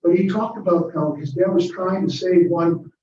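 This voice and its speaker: A man's voice: high voice, moderate pace, mellow. A man giving a lecture, answering audience questions in a chatty, digressive way with chuckles. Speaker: guest lecturer. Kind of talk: informal Q&A with audience. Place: lecture room. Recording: echoey, muffled room from a distance.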